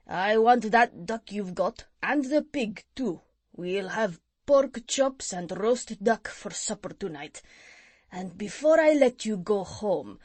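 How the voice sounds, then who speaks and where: A woman voicing a boastful male pirate captain who shouts and gloats, raised and loud. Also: mid-range voice, no echo.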